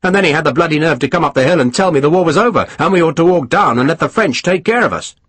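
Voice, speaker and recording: A man's voice: a little high, animated, fast, a bit bright, breathy edge, no echo, voice pushed up. A man voicing a plain-spoken young army lieutenant who reports bluntly and respectfully. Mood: indignant.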